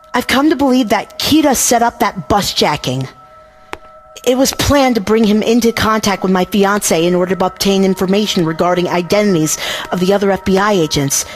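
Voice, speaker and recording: A woman acts out a guarded young investigator in an anime drama. Her mid-pitched voice lays out careful, earnest reasoning, with faint music underneath. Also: animated, normal speed, lightly acted. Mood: grave, insistent. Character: serious, guarded, analytical young female investigator. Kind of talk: anime dub acting. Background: background music.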